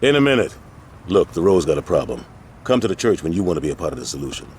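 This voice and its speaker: A man's voice: low-pitched, sing-song, fast talker, darkish tone, slight background noise. An actor playing a stern, fatherly middle-aged street gang leader in a video game, speaking with authority in street slang. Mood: calm, firm. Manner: authoritative, speechifying.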